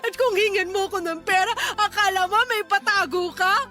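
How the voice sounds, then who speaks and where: Wronged girlfriend, hurt and confrontational, very shrill pitch, forceful bright tone, radio play. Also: fairly even intonation, moderate pace, faint music behind. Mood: furious, hurt. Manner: emotional, accusatory. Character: betrayed female lover, hurt, furious, confrontational. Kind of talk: radio drama acting.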